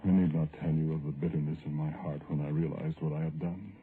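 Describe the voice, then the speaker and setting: A man's voice: a bass voice, fairly even intonation, quick pace. An actor playing a guilt-ridden, grieving man who narrates his ghost story in a solemn, literary radio drama style. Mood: anguished, brooding. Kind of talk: vintage radio horror drama acting. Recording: noisy, narrow-band vintage radio recording.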